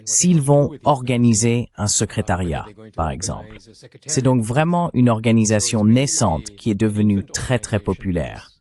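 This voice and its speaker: Low-pitched man, French voice-over. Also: sing-song, measured pace, mellow. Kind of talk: French voice-over translation of an interview.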